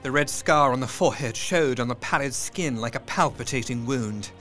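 A man narrating an audio drama in a tense, measured storytelling voice with hushed dips. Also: medium pitch, soft background music.

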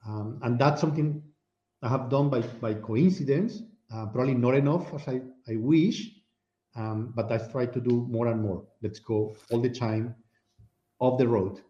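Panel guest, male, mellow, thoughtful delivery; dull, echoey call audio. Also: medium pitch, normal speed. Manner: thoughtful, repeats words while searching. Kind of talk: casual discussion.

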